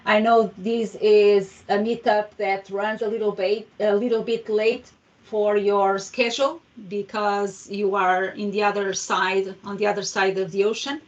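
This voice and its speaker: A woman's voice: mid-range voice, level tone, measured pace. Woman hosting a zoom meetup, friendly, lots of uhs, kinda distant and muffled. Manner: friendly, hesitant, many fillers and restarts. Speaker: meetup host. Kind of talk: introducing a guest speaker. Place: home room, video call. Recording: distant, dull video call audio.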